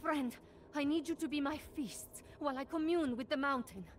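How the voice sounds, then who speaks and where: A woman's voice: high-pitched, normal speed, very noisy, natural voice. An actress playing an earnest, devout female warrior monk in a fantasy video game, speaking formally. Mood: reverent. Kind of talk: dramatic scripted video game dialogue. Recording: loud game music behind the voice.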